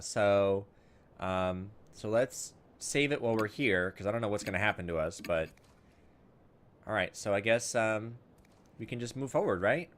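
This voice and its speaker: A man's voice: lowish voice, a bit bright, slight background noise. A man doing gameplay commentary, casually thinking aloud and reading visual novel text as he goes. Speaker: video game playthrough host.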